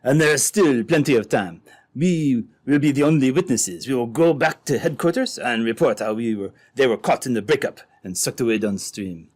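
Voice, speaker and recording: A ruthless French-speaking schemer from an old-time radio adventure, acted by a man. His pitch sits in the middle. He phrases things in a stilted, deliberate way as he plots coldly. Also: a little mellow, lightly acted. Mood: scheming. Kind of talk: re-created old-time radio drama acting.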